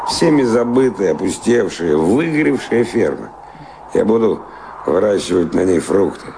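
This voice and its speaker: Низкий скрипучий голос скитальца-романтика. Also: male voice, low voice, very animated, moderate pace, gravelly, full character voice. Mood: defiant, dreamy. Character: restless adventurer wanderer, world-weary yet defiant romantic. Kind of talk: radio play acting. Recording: noisy, hissy radio play recording.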